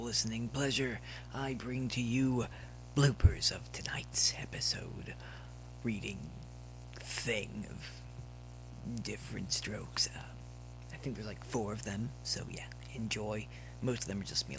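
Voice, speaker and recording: A man's voice: on the low side, vocal fry, background noise. A man reading a story aloud as narrator in an amateur recording. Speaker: audio fiction reader. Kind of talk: scripted story narration with asides.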